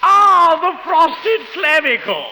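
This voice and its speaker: An actor playing a pompous, excitable English professor of slang with a British accent and flowery, exclamatory speech in a 1930s radio comedy. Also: male voice, very high-pitched, takes it slowly, full character voice. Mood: bemused, effusive. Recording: noisy old 1930s radio recording.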